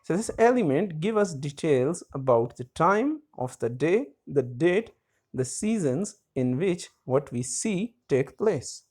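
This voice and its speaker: Male teacher going through definitions real slow, voice jumps up on each new term. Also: a little high, sing-song, a little mellow. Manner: deliberate, stressing each key term. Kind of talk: slow lecture reading out definitions.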